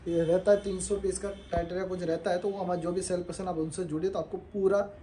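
A young man pitching his clothing collection to viewers in a showroom, talking persuasively while showing garments. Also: level tone, fast talker, mellow, slight background noise. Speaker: clothing wholesaler.

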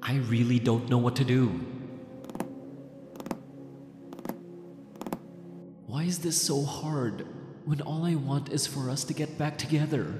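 A man's voice: medium pitch, normal speed, natural voice. A man playing an obsessive, lovesick young ex-boyfriend in an ASMR roleplay monologue, anxious, possessive and agonized. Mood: torn, uneasy. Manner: brooding, agonized, self-questioning. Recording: reverberant echoey voice over music. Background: music.

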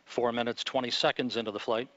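Calm male launch commentator, dry dull audio. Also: mid-range voice, no room sound. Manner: measured, calm announcer delivery. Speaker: launch commentator at mission control.

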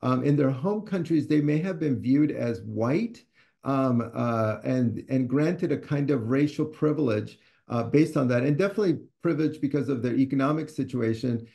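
Author, 60s, from Ecuador: gravelly, mellow voice, halting and thoughtful, webcam in a study. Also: male voice, mid-range voice. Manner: thoughtful, hesitant with frequent fillers and restarts. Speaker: author and scholar. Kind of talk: conversational talk on a video call. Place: home study with bookshelves. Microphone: video call webcam.

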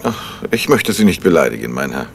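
An actor playing a composed, worried middle-aged gentleman sleuth in a crime radio play, speaking with controlled insistence. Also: very deep voice, big pitch swings, fairly quick, vocal fry, a little hiss or hum, heavily acted. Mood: guarded. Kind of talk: 1970s German crime radio play acting.

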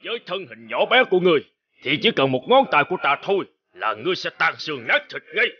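A man's voice: a little high, sing-song, fast talker, highs cut off. A man voicing a huge, menacing and boastful forest giant in a fairy-tale cartoon, scornful and threatening. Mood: mocking. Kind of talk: animated fairy-tale acting.

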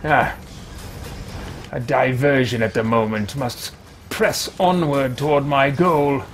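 A man playing a haughty, impatient wizard adventurer in a video game roleplay, muttering to himself in formal, pompous, halting phrases. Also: medium pitch, fairly even intonation, music in the background. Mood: musing. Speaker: let's play host. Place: small home room, indoors.

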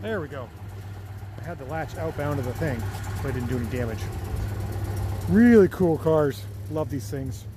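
A man's voice: a little high, lively intonation, normal speed, long pauses. A man giving casual, enthusiastic car vlog commentary, chatting away to himself as he shows off and drives a car. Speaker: car vlogger and mechanic presenting a car. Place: in and around a small old car outdoors. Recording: loud car engine and road noise.

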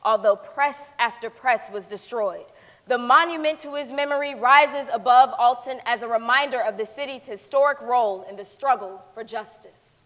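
A woman's voice: fairly high pitch, normal speed, a bit bright, close to an everyday voice. A young woman giving a scripted dramatic reading as narrator on a theatre stage. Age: young adult. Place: large indoor theatre stage. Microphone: lapel. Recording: echoey theatre hall.

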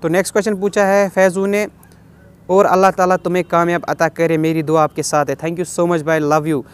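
A young man with a fairly high voice and a Kashmiri accent hosts a casual question-and-answer vlog. He reads each question aloud and replies in a chatty way, dropping in bits of English. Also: little pitch movement, normal speed, clean tone. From Kashmir, India. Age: 20s. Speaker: vlogger answering viewer questions. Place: outdoors on a rooftop.

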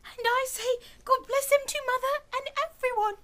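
A woman's voice: extremely high pitch, steady tone, normal speed, sharp tone. A woman playing a sweet, pious, frail little lame boy, earnest and innocent, in a radio play. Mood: devout, cheerful.

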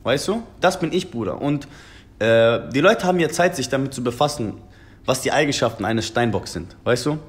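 A man's voice: mid-range voice, animated, a little fast. A young man in his twenties from Germany, a rapper talking earnestly over a phone video call, calling the interviewer brother again and again. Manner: earnest, repeats "Bruder" often. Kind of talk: casual video-call chat. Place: indoor room at a table.

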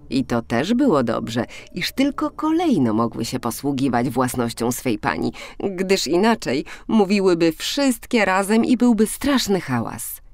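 A woman narrating a fairy tale for children in an expressive, deliberate storytelling manner, as in an audiobook. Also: low voice.

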